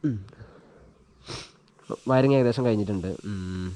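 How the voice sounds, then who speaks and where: A man with a fairly low voice and a dark, relaxed tone. He talks like a casual vlogger showing a practical job, informally thinking aloud as he works. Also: slow pace. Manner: informal, thinking aloud while working with his hands. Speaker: vlogger giving a hands-on tutorial. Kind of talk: casual chat while demonstrating an installation. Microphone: action camera mounted on a helmet he wears.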